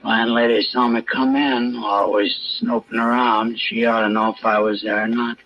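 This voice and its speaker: A man's voice: medium pitch, level tone, moderate pace, close to an everyday voice. A man playing a surly, defensive American ex-convict mechanic with grumbling, slangy speech in a 1950s radio drama. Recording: dull, noisy old radio recording.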